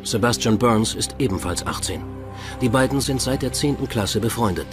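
A man's voice: mid-range voice, animated, moderate pace, over music. A man reading scripted documentary narration as the narrator of a true crime podcast. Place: voice-over with music behind.

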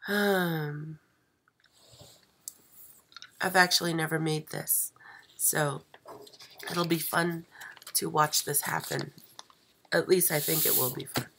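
This is a woman hosting a live craft stream while losing her voice. She speaks slowly and a bit low, with a gravelly fry. She hesitates often and fills the pauses with ums. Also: fairly even intonation, a little hiss or hum. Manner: slow, hesitant, many fillers, soft. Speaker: craft channel host. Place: craft table indoors. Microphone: overhead camera, hands only.

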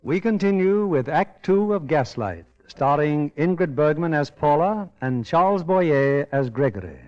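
High-pitched American man, 1940s radio host. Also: expressive, unhurried, a little mellow, highs cut off. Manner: polished, formal. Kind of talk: 1940s radio announcing, scripted. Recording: band-limited 1940s radio, music behind.

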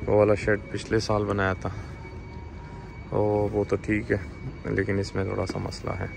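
Young man, low gravelly voice, casual vlog narration, outdoor rooftop, phone recording, traffic noise behind. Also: normal speed. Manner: relaxed, explains while asking questions. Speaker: farm channel host.

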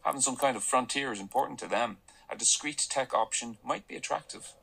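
An actor playing an eager young male business assistant who is quick to chime in, in a radio drama. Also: medium pitch, gravelly, sharp tone, husky, exaggerated voice, rough recording. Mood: keen.

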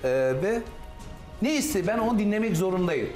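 A man's voice: high-pitched, a little fast, darkish tone. A middle-aged male hairdresser in a salon, arguing defensively and talking over the others. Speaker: hairdresser contestant. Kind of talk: heated reality-show argument. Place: hair salon, indoors. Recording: roomy salon with music behind.

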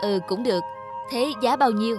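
A woman's voice: on the high side, normal speed, music in the background, natural voice. A woman acting a brash, pushy haggling woman. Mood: demanding. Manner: pushy, scornful. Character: brash, haggling middle-aged woman customer on a scooter. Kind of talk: animated cartoon dialogue.

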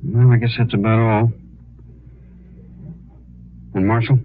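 An actor playing an earnest, humble American prisoner in a 1950s radio western, speaking haltingly. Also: male voice, mid-range voice, flat delivery, phone-quality sound, close to an everyday voice. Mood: resigned, earnest. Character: earnest young condemned prisoner protesting his innocence. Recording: thin, noisy old radio recording.

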